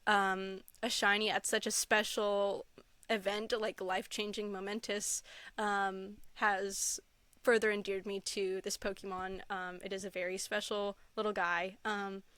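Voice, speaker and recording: A woman's voice: medium pitch, flat delivery, measured pace, fairly bright tone, smooth, dry recording. A woman guest on a podcast chatting casually, gushing and laughing often, with lots of "like" and "um". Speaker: podcast guest, content creator. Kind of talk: casual interview chat.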